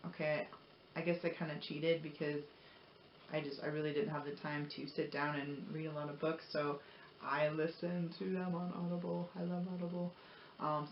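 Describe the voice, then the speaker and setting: Echoey, earnest woman vlogger. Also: low-pitched. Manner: earnest, encouraging, rambling. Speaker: motivational vlogger. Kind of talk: casual personal talk to viewers. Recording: roomy echo, dull lo-fi audio, noisy.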